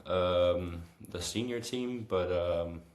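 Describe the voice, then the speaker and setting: A man in his early twenties with a Danish accent, answering casually in a podcast interview with frequent hesitant filled pauses. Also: low voice, darkish tone. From Copenhagen, Denmark. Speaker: interview guest, football goalkeeper. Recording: roomy, echoing sound.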